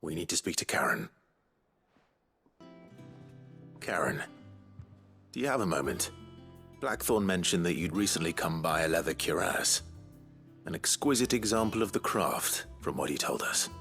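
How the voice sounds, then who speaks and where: An actor playing an earnest, considerate fantasy game hero, measured and polite with a raspy voice. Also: male voice, animated, bright, forceful tone, faint music behind, heavily acted. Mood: polite, resolute. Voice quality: low, husky, raspy. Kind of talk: video game voice acting.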